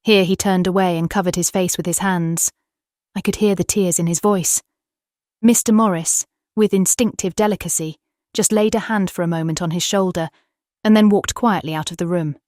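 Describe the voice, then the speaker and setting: A woman with a British accent playing a tender young Victorian wife, reading her diary in an earnest, expressive, formal way for a dramatised audiobook. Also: on the low side, normal speed, no echo, studio quality, natural voice. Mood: tender, sorrowful.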